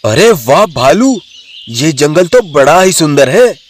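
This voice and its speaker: A man's voice: on the high side, big pitch swings, slow, a little hiss or hum. A man voicing a brave young male lion in an animal story, speaking in a declamatory, commanding way. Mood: earnest, determined. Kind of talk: Hindi animal storybook voice acting.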